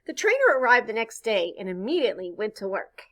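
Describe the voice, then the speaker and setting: Lively, bright-voiced woman reading a storybook. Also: medium pitch. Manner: expressive, pauses to point things out and ask questions. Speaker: storybook reader. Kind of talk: children's read-aloud with asides to listeners.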